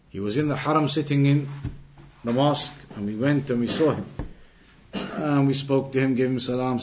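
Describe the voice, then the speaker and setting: A man's voice: medium pitch, a little mellow. A man with a British accent giving an Islamic lesson as a teacher, repeating phrases for emphasis and explaining with everyday analogies. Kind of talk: religious lecture.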